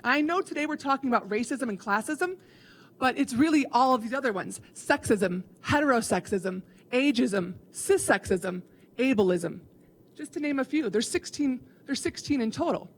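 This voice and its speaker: A woman from Chicago giving an earnest, instructive keynote talk to educators. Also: on the high side. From Chicago, USA. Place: conference hall.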